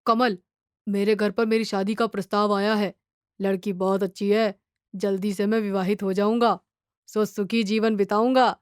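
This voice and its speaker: A woman's voice: mid-range voice, little pitch movement, normal speed, clean tone, dry recording, natural voice. Woman voicing earnest young villager, dry and close. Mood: happy. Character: two young village men, friends, talking earnestly. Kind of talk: storytelling character dialogue.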